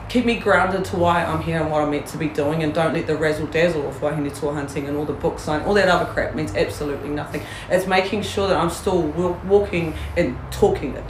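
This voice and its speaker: A woman's voice: low voice, echoey room, background noise. A woman with a New Zealand accent, a guest in a candid interview, talking bluntly, swearing freely and laughing often.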